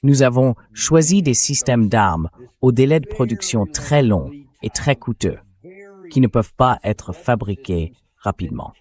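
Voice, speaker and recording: A man's voice: mid-range voice, lively intonation, unhurried, darkish tone. An AI-voiced man speaking as a talk show host, giving dubbed political commentary. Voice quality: synthetic AI voice.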